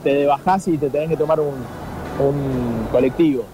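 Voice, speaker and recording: A man with an Argentine accent reporting live in an informal, halting way, repeating himself as he goes. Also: fairly high pitch. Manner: informal, colloquial, halting with repetitions. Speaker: on-location sports reporter. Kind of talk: live unscripted match-day report. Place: outdoors in a city by a metro. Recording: clear outdoor urban traffic noise.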